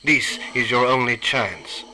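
An actor playing a cold, secretive male dealer in a radio play, measured and clipped, often dropping to a menacing hush. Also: mid-range voice, a little hiss or hum, low-quality recording.

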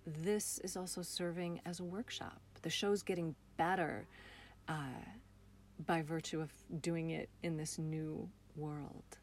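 Woman director chatting in an interview, voice a bit low, earnest and expressive. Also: takes it slowly. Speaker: theater director and actor being interviewed. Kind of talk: reflective interview conversation.

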